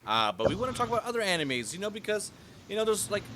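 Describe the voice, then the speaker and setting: A man hosting a casual podcast chat, animated and swearing casually as he talks. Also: high voice, fast, a little hiss or hum. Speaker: podcast host.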